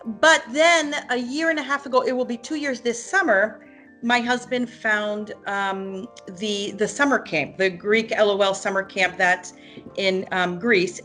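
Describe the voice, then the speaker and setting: A Hungarian-born mother in her forties talks through a parent testimonial at a middle pitch. She pauses often, fills gaps with ums and restarts her sentences. Soft music plays faintly behind her. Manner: hesitant, many ums and restarts. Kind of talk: unscripted testimonial.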